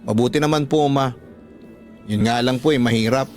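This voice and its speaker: A man's voice: mid-range voice, moderate pace, darkish tone, close to an everyday voice. A man with a Filipino accent playing a dutiful, hard-up young student speaking respectfully in a radio drama. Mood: apologetic, downcast. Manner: earnest, respectful. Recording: background music under the voice.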